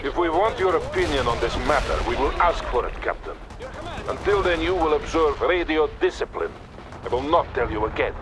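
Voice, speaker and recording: An actor playing a stern, composed Soviet army colonel with a Russian accent, giving measured orders in a military video game. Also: male voice, a little high, expressive, lightly acted. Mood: stern rebuke. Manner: authoritative, measured, commanding. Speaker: military commander character. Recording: battle gunfire noise behind voice. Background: gunfire, artillery and vehicles.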